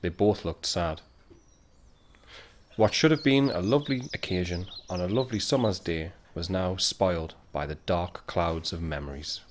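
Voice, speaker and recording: A man's voice: sits low, normal speed, slight background noise, lightly acted. A man playing a wistful narrator who looks back reflectively in a scripted audio drama. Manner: reflective storytelling.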